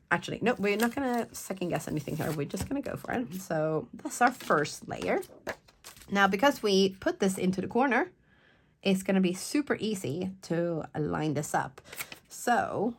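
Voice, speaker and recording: A woman hosting a craft tutorial in a casual, chatty way, slightly croaky and sniffly from a cold, rambling into playful asides. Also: mid-range voice, animated, unhurried, slight background noise.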